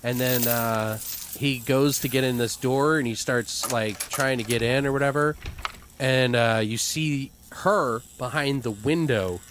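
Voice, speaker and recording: A man's voice: mid-range voice, measured pace, fairly bright tone, slight background noise. A man co-hosting, casually brainstorming a made-up story in a loose, rambling, profane way. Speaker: podcast co-host.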